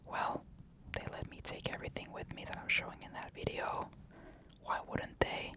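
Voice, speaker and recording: A young man from Finland with a Finnish accent, answering comments in a casual, matter-of-fact ASMR whisper. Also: normal speed. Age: young adult. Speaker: ASMR creator. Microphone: USB condenser mic.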